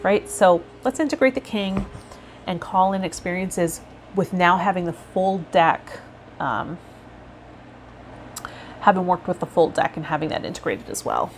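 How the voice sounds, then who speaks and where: A woman vlogging casually and reflectively to her viewers, rambling a little and pausing mid-thought, with some guided meditation cues. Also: fairly low pitch, lively intonation, slight background noise. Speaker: host of a tarot vlog and healer.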